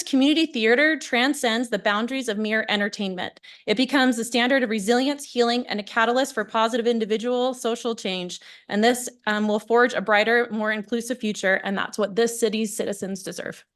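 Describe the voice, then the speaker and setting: A woman's voice: on the high side, a bit bright. Earnest woman reading a prepared comment. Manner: earnest, formal, cites research. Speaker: public commenter, social worker. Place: city council chamber.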